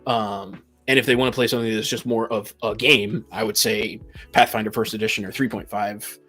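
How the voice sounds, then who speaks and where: A man's voice: lowish voice, fairly even intonation, a little fast, sharp tone. Man chatting casually over faint music. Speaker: podcast host discussing tabletop games. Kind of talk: casual opinionated discussion.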